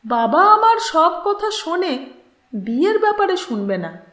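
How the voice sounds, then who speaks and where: High young female storybook character, voiced by a woman reader, distressed, bitter, pleading, very lively pitch, roomy sound. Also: a little slow, exaggerated voice. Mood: frustrated. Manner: emotional, scornful, pleading.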